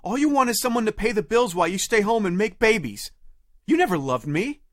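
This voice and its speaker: Audio drama boyfriend, thirties, played by a man: wounded, defensive, very animated pitch, dry close recording. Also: fairly quick, dry recording. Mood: angry, accusing. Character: indignant, wounded 35-year-old boyfriend on the phone.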